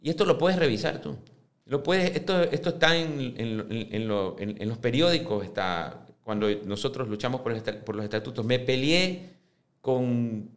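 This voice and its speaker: A man in his fifties answering interview questions on a podcast, speaking in a measured way that often restarts and repeats words as he builds his points. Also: mid-range voice. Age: 50s. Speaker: club presidential candidate.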